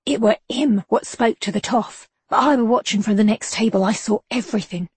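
A woman's voice: fairly high pitch, brisk, a little mellow, dry recording. A woman doing a cocky street informant. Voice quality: rough slangy street talk. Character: shifty male Victorian street informant, cocky. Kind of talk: audiobook character voice.

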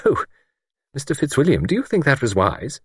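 A man reading an audiobook voices a fussy, anxious middle-aged spinster in a high, breathless manner. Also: huge pitch swings, moderate pace, breathy edge, no room sound, clean studio sound, full character voice. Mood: worried.

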